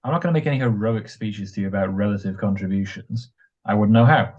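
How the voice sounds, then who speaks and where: A man playing a calm, blunt, reassuring ex-soldier friend in a radio drama, with a husky voice. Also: fairly low pitch, quick pace, lo-fi sound, close to an everyday voice. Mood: firm, frank. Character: steady, reassuring ex-soldier friend, practical and wry. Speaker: amateur radio drama actor.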